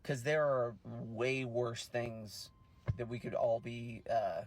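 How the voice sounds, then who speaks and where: A man's voice: mid-range voice, steady tone, takes it slowly, faint music behind. A man in his late thirties talking casually, repeating words as he makes a plea. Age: late 30s. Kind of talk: casual rant to camera.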